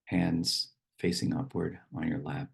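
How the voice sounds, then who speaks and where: A man guides a meditation in a low voice heavy with vocal fry. His delivery is slow and unhurried, with short phrases set apart by pauses. The tone is husky and grainy, suited to reading verse as part of the practice. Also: flat delivery. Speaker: meditation teacher.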